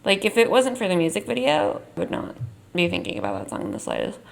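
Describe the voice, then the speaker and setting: A woman's voice: medium pitch, huge pitch swings, quick pace, vocal fry. Chatty young woman with a worn-out voice. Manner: chatty, rambling, lots of "like". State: losing her voice. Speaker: vlogger. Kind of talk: casual tier-ranking chat.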